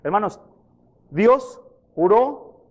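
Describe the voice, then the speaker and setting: A pastor preaching a sermon, questioning his congregation and retelling scripture in a lively way. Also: high-pitched, drawn-out words, muffled recording. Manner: questions the congregation, repeats references for them. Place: church.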